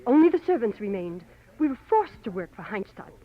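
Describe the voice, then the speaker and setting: A woman's voice: mid-range voice, big pitch swings, a little fast, close to an everyday voice. An actress playing an anxious, guarded young French woman with a French accent and a hesitant, polite manner, in a 1940s radio drama. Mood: guarded, earnest. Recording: muffled old radio broadcast recording.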